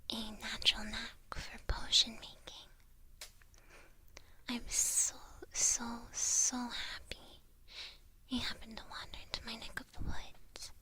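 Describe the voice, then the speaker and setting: A kindly witch voiced by a woman guides her apprentice in an ASMR roleplay. She whispers throughout, breathy and fairly high for a woman. Her delivery is slow, gentle and instructive. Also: exaggerated voice. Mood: gentle, pleased.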